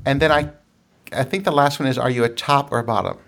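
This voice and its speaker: A man's voice: mid-range voice, fast, vocal fry, a bit bright. Teasing host in his fifties. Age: 50s. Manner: teasing, laughs often. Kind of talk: casual chat, interviewing a guest.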